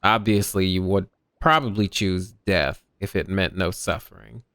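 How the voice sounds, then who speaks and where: Female streamer: very deep, smooth voice, unhurried casual commentary with a dry manner. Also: a bit bright, no room sound. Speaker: gaming streamer. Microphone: close dry microphone.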